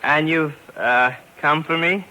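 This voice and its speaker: A man's voice: a little high, pushed and bright, heavily acted, low-quality recording. A man playing a simple, timid, eager carnival knife thrower who stammers and hesitates, in 1950s radio drama style. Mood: hopeful, sheepish. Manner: hesitant, stammering, slow-witted. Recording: vintage 1950s radio broadcast.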